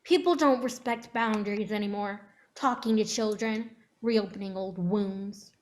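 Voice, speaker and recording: A woman's voice: medium pitch, measured pace, close to an everyday voice. A woman playing an angry, protective mother in a dramatic script reading, clipped and confrontational. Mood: indignant. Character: angry protective mother of a nine-year-old girl.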